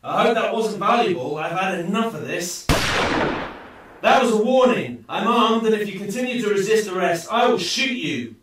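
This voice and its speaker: A man's voice: sits high, animated, moderate pace, distant mic. Man acting an earnest police inspector, echoey. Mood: commanding. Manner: formal, resolute. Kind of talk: steampunk radio play acting. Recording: very echoey room, noisy, off mic.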